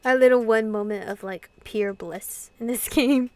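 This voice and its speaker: A woman doing an informal, expressive playthrough of a visual novel, reading and commenting as host. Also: fairly high pitch, moderate pace. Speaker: gaming video host.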